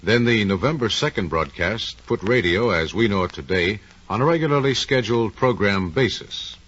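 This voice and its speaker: A man's voice: fairly low pitch, expressive, normal speed, a bit bright. A man with an American accent speaking as announcer and interviewer in a scripted 1940s radio program, with polished, folksy delivery. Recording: muffled, lo-fi 1940s radio recording.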